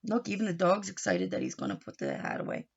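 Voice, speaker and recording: A woman's voice: on the low side, wildly swooping pitch, fast talker, gravelly, husky, dull audio. A woman reading a picture book aloud for young children, explaining as she goes and putting questions to her listeners. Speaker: read-aloud teacher.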